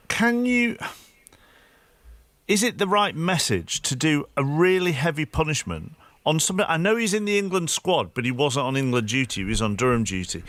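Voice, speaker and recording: Male host, slightly high voice, very lively intonation, halting conversational questioning. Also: no echo. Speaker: discussion host. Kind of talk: conversational questioning on a sports show.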